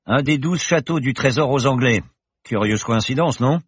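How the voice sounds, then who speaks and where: A showy old professor, put on by a male audiobook narrator, talks loud and high above the narrator's own voice, lively and full of himself. The voice is a little husky, with dry close sound. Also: moderate pace, dry recording, natural voice. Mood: jovial. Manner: jovial, showy, lofty speech. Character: boastful eccentric older male professor pilot.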